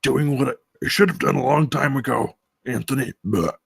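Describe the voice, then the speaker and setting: A man voices a blunt, grumbling beast. The voice is rough with fry and husky, the wording slangy, and the sound is dry. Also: mid-range voice, moderate pace, dry recording. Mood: resolute, blunt. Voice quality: gravelly, husky. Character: Bigfoot, grumbling slangy male monster. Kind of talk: table read of a film script.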